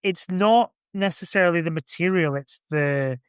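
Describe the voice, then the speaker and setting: A man's voice: a little high, moderate pace, clear, resonant tone, no echo, studio quality. A man chatting casually on a podcast in an informal, reflective and enthusiastic way. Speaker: podcast speaker. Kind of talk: casual chat.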